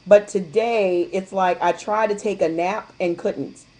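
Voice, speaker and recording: A woman with a middle-pitched voice chats frankly on a group call, telling long stories and slipping into the voices of people she quotes. She talks in an easy, open, unguarded way. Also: steady tone, unhurried, darkish tone. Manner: candid, chatty storyteller who acts out quotes. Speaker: guest distributor sharing her experience. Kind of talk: casual chat on a conference call.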